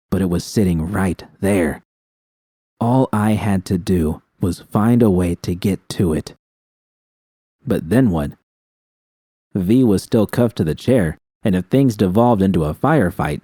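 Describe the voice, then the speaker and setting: A man narrates a scripted first-person story. His voice is deep and somewhat dark. The recording is dry and close, like an audiobook. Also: dry recording.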